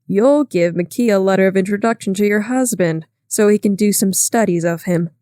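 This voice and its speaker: A woman reading aloud, voicing a brusque, domineering wealthy man with a slightly lowered voice. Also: normal speed, dark tone, dry recording, clean studio sound, lightly acted. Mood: mocking. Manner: curt, lordly.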